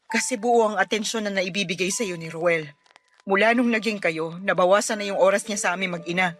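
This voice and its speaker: A woman's voice: medium pitch, fairly quick, fairly bright tone, slight background noise, natural voice. A woman playing a worn-out, despairing young mother in a Filipino radio drama, with a Filipino accent and a sullen, pleading manner. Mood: bitter, weary. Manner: curt and sullen, breaking into tearful pleading. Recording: music behind the voice.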